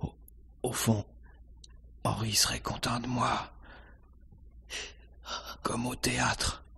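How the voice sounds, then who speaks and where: Radio mystery role: a dying, theatrical lover-poisoner, very slow delivery, badly husky, fading whisper. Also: male voice, medium pitch, level tone, bright, forceful tone, heavily acted. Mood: fading, wry. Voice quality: breathy, husky, gravelly whisper. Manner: halting, weak, fading. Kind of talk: French radio mystery drama acting.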